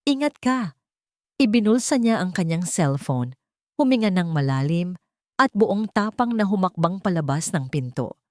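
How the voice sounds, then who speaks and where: A woman's voice: lowish voice, darkish tone, no room sound, clean studio sound. A woman reading a scripted dramatic story as narrator.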